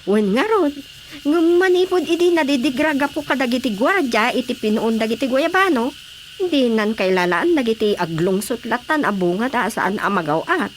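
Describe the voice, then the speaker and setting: A woman playing an excitable, exclamatory rural aunt in a radio drama comedy, speaking with an Ilocano accent. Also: on the high side, normal speed, a little hiss or hum, lightly acted. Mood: chatty, explaining. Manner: animated, exclamatory.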